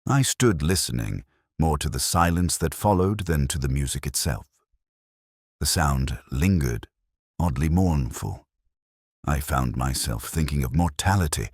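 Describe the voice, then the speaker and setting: A man voicing a melancholy older retired police inspector, narrating a literary detective drama in a measured, wistful, erudite way with wry touches. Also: very deep voice, animated, dry recording, clean studio sound, full character voice. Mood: wistful, brooding. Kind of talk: literary first-person detective drama monologue.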